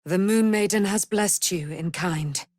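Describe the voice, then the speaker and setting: A woman's voice: medium pitch, a little slow, darkish tone, dry recording, studio quality, close to an everyday voice. A woman playing a proud, righteous immortal warrior in a video game, with grand, formal, declamatory delivery and archaic phrasing. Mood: proud, gracious. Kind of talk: dramatic video game voice acting.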